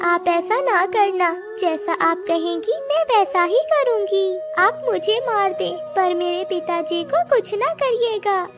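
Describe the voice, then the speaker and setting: Pleading, very high-pitched little bird girl. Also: slow pace, few pauses. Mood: frightened, pleading. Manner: plaintive, pleading, obedient. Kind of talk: Hindi children's cartoon acting. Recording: music behind the voice.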